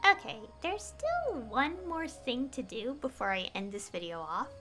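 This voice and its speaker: A woman's voice: a little high, big pitch swings, measured pace. A woman talking casually and in a rambling way, as a streamer commentating on a game. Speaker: game livestreamer. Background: game music.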